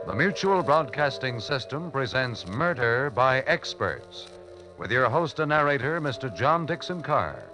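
A man announcing the start of a 1940s radio show in a formal, booming manner. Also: big pitch swings, a little slow, music in the background, rough recording.

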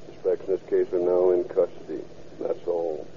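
A man's voice: low voice, level tone, normal speed. An American man reading a formulaic police radio bulletin as a dispatcher. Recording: muffled, faintly noisy old radio.

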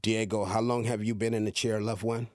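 Low-voiced man hosting a podcast. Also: flat delivery, brisk, no echo, clean studio sound. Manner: warm-hearted, repeats words and restarts a lot. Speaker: podcast host. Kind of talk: casual interview, encouraging.

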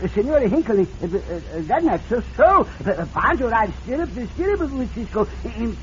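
Vintage radio western childlike, boastful Mexican sidekick. High-pitched, halting broken English, hissy old recording. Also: male voice, sing-song, dull audio. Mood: wounded, boastful. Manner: broken English, stammering repetitions.

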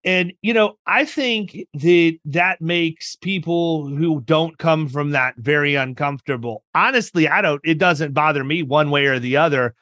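A middle-aged man hosts a podcast with a bright, somewhat high voice. He talks in a folksy, joking, rambling way. The sound is close and dry. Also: unhurried, hardly any pauses, no echo. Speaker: podcast host. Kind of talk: casual interview chat.

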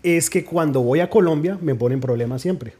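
A man's voice: fairly high pitch, moderate pace, warm tone, breathy edge. A man from New Jersey, a guest on a podcast, telling stories in casual talk and laughing often. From New Jersey, United States.

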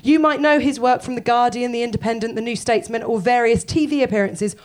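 A woman hosting a live podcast on a theatre stage, joking and swearing with the audience. She is croaky from a cold. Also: on the high side, quick pace, a little mellow. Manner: self-deprecating, jokey, sweary. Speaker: podcast host.

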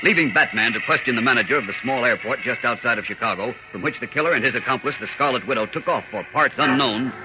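A man's voice: mid-range voice, quick pace, hardly any pauses. A man narrating a 1940s radio serial as announcer, grand and suspenseful. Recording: muffled old radio recording. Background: loud dramatic music.

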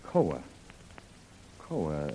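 An actor playing a rugged, wry adventurer hero who muses aloud, in the style of old-time radio drama. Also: male voice, very deep voice, wildly swooping pitch, extremely slow, dark tone, a little hiss or hum, exaggerated voice, rough recording. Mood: wry, musing.

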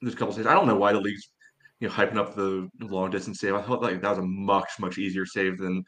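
A man with a low-pitched voice speaks at a very quick clip. He breaks down the game casually as a podcast panelist, matter-of-fact and ready to disagree. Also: flat delivery, a bit bright. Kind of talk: casual sports analysis.

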